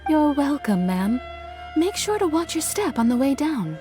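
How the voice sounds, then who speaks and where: A woman's voice: high-pitched, very animated, measured pace, over music. An actress playing a courteous, earnest young female shrine maiden in an anime-style video game, attentive and polite.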